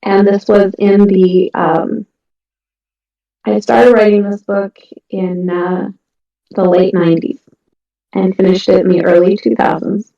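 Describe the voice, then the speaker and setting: A woman's voice: medium pitch, little pitch movement, unhurried, creaky, pauses often. A middle-aged woman, an indie author, talking casually and earnestly about her writing, with frequent ums and restarts. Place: home, on a voice chat. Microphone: computer mic on a voice call. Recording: echoey, distant, muffled voice-chat audio.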